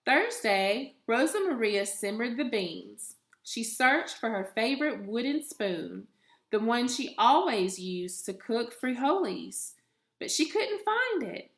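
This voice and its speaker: A woman reading a children's storybook aloud with deliberate, expressive phrasing, like a teacher with a young class. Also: on the high side. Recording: echoey room.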